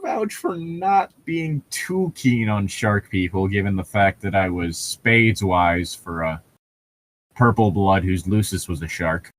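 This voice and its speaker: A man's voice: low-pitched, lively intonation, unhurried, a little hiss or hum. A man casually riffing as co-host of a fan fiction reading, joking and laughing often. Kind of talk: casual riffing commentary on a story.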